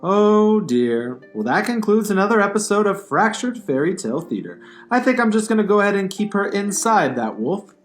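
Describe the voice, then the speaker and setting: A man's voice: high-pitched, sing-song, moderate pace, few pauses, faint music behind. A man narrating a silly children's fairy tale puppet show in a playful storytelling style.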